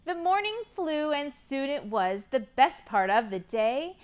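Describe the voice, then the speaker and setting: Slow, high-pitched woman hosting children's storytime. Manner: expressive, encouraging, prompting children with questions. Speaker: children's storytime host at a public library. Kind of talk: picture-book reading and sing-along for young children.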